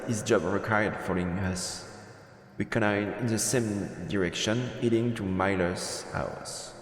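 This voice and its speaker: A man with a lowish voice plays a visual novel on stream, reading narration and commenting on choices. The recording is echoey, with a long reverberant tail. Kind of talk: let's play commentary and read-aloud narration.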